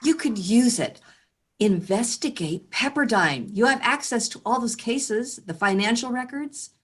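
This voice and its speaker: A woman's voice: a little high, animated, measured pace, husky. An actress reading an encouraging woman in her fifties at a screenplay table read. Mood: urging, eager.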